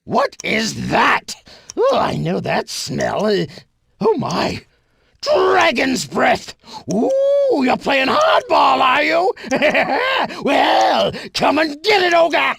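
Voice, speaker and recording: An actor playing a theatrical, smug villainous tyrant in a video game, with pompous, gloating, drawn-out delivery. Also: male voice, extremely high pitch, huge pitch swings, gravelly, fairly bright tone, no echo, shouting, studio quality, exaggerated voice. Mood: alarmed, defiant. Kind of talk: video game cutscene acting.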